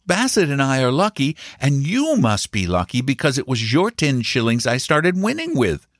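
A high, animated young boy played by a man from a storybook. He is solemnly earnest and polite, eager to share yet guarding his secret. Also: moderate pace, no echo, clean studio sound.

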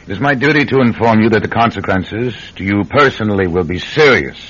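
An actor playing a grave, secretive government official in a radio drama, speaking formally and deliberately with measured pauses. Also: male voice, fairly low pitch, lively intonation, a bit bright. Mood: grave, warning. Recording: dull radio recording with clear noise.

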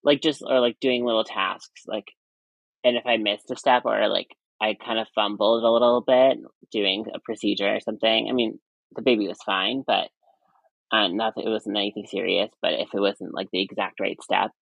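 A young man in his twenties with a high voice, a nurse chatting casually as a podcast guest, rambling with many fillers and restarts. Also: fairly even intonation, normal speed, creaky, a bit bright, no echo, muffled recording. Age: 20s. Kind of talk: casual chat.

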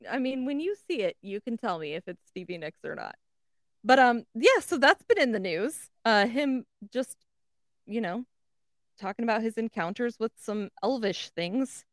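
A woman's voice: on the high side, lively intonation, normal speed, dry recording, clean studio sound. A woman co-hosting a podcast, casually relaying odd news in an amused, informal and sweary way. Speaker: podcast co-host.

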